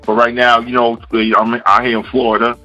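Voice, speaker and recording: A man's voice: mid-range voice, flat delivery, moderate pace, pushed and bright, dull audio, faint music behind. A man from Queens, New York hosting a radio interview, talking casually and often saying "you know.". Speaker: radio host.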